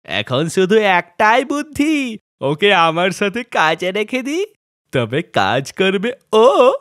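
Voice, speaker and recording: A man playing exaggerated, animated townsmen in a children's cartoon story. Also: high-pitched, takes it slowly, no echo, clean studio sound, exaggerated voice. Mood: scheming. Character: cartoon townsmen: customer, scheming rival vendor, bumbling crooks.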